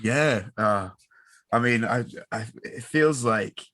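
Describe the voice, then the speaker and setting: A young man with a Scottish accent chatting casually as an interview guest, informal and halting, with a joking manner. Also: medium pitch, a bit bright, no room sound. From Scotland. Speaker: voice actor, podcast guest. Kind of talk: casual chat.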